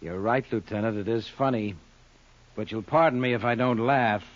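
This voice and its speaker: A man's voice: medium pitch, normal speed, lightly acted. A man playing a cool, hard gambling club boss with dry, controlled menace in a 1940s radio drama. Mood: cool, annoyed. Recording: dull, noisy old radio recording.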